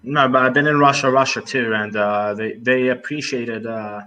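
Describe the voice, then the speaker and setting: A man's voice: medium pitch, fairly even intonation, moderate pace, bright, forceful tone. A young man talking casually as a podcast guest, hesitant, with many fillers and restarts. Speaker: podcast guest, travel video maker. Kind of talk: casual conversation.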